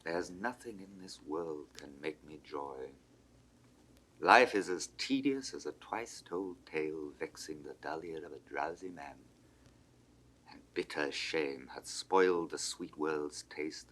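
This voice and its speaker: A bitter young prince, old shakespeare audio acting, very low voice with a hard edge. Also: male voice, a little slow, fairly bright tone, exaggerated voice, poor audio. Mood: bitter, weary. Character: disillusioned young prince, bitter and world-weary. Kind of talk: 1960s classical Shakespearean audio drama acting.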